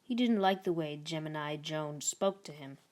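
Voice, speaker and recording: A woman narrating a children's book aloud in an expressive read-aloud style. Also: sits low, normal speed.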